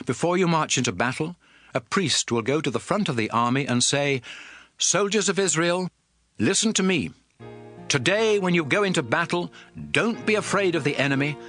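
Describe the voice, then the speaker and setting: An actor playing a solemn, commanding lawgiver in a dramatized audio Bible, speaking in an emphatic, oratorical way. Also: male voice, a little high, fairly bright tone, dry recording. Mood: rousing, urgent. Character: solemn, commanding male lawgiver addressing his people. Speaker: scripture narrator playing a prophet and lawgiver. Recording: music bed under the voice. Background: music.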